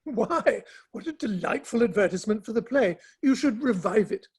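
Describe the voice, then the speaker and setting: A woman playing a curious, sensible Victorian confidante in a play reading, polite, measured and gently probing. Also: a little high, animated, slightly rough. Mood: curious, amused. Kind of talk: online dramatic play reading.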